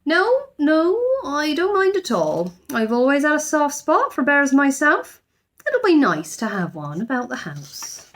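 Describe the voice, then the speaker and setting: A woman voicing a stern but soft-hearted housekeeper in a storybook, imperious and deliberate in her delivery. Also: high-pitched, huge pitch swings, pitched above the performer's own voice, heavily acted. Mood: softening.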